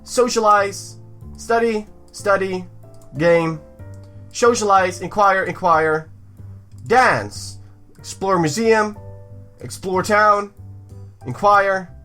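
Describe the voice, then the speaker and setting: A man's voice: high voice, takes it slowly, pauses often, bright, forceful tone, soft background music. A man hosting a let's play, reading story text aloud with joking asides and stumbles over words. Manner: jokey, stumbles over words and repeats them for effect. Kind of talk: playful game commentary mixed with reading visual novel text aloud.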